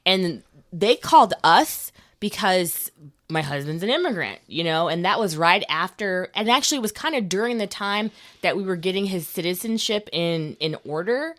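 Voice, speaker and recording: Woman, podcast host, lowish voice, informal chatty storytelling, animated quotes, very dry close recording. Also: normal speed, no room sound. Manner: animated quoting, swearing, informal. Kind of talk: casual conversational storytelling.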